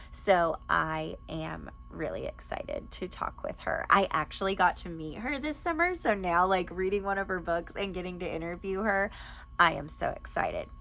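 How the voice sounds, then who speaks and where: Woman, lowish creaky voice, informal and enthusiastic vlog delivery, faint background noise. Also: a bit bright. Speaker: book reviewer and podcast host. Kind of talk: casual chatty book recommendation vlog.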